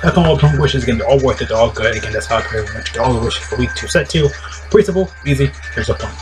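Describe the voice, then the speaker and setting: A young man giving casual mobile game tips from a small room on a webcam, rambling and repeating himself, his voice a little deep and strained. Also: rapid-fire. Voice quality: voice a bit deep and strained today. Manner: rambling, repetitive, upbeat. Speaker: mobile game content creator. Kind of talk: casual game guide commentary. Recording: background music throughout.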